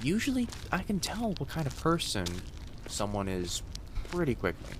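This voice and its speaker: A man voicing a friendly, gently teasing young fox-spirit college student in an immersive roleplay audio, speaking casually and reassuringly to the listener. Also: fairly high pitch, huge pitch swings, moderate pace, background noise. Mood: curious, tender. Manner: soft-hearted, reassuring, casual conversational delivery. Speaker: voice actor.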